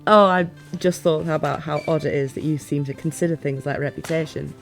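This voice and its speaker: A playful, teasing fantasy ruler voiced by a woman in an immersive audio roleplay. Her voice sits fairly low for a woman and her phrasing is formal yet expressive, with faint music playing behind her. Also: normal speed. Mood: amused, soft.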